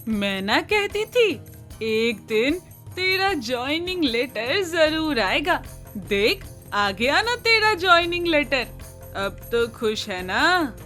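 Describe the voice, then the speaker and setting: A woman voicing a bossy, proud older village mother in an animated moral story, speaking emphatically. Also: high-pitched, slow, soft background music, exaggerated voice. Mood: reassuring. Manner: emphatic, scolding, matter-of-fact village talk.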